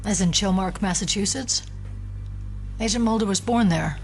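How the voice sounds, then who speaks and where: Rational female agent, played by an actress, medium pitch, firm and loyal, audio drama, slight background noise. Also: normal speed, natural voice. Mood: defensive. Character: fiercely protective female FBI agent, rational, insistent partner. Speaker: FBI agent.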